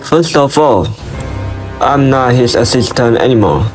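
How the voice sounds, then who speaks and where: A man acts a detective's former partner in an amateur radio play. He is earnest and frustrated, full of questions, in a medium-pitched voice. Music plays clearly behind him. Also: animated, unhurried, close to an everyday voice. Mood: earnest, puzzled. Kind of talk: amateur radio drama acting.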